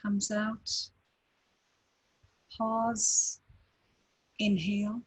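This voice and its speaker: Female meditation guide, airy breathy tone, unhurried delivery with long pauses. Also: medium pitch, low-quality recording. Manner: slow, calm, gentle instructions with long pauses. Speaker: meditation teacher.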